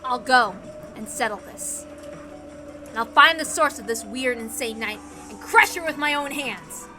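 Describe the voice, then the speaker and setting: A desperate, hot-headed young man, acted out by a woman in a visual novel reading. The voice is high and emphatic, full of raw emotion and vengeful resolve. Music runs clearly underneath. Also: lively intonation, measured pace, sharp tone.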